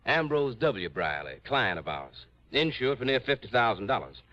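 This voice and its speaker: An American actor playing an earnest insurance manager who explains things haltingly in a 1950s radio drama. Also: male voice, medium pitch, big pitch swings, bright, forceful tone, dry recording. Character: earnest male insurance office manager briefing an investigator. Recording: dull old radio recording.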